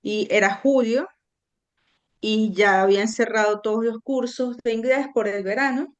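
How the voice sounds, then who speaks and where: A middle-aged woman from Venezuela, a guest recounting her own story in an earnest, reflective way with frequent hesitations. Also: mid-range voice. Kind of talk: personal storytelling in an interview.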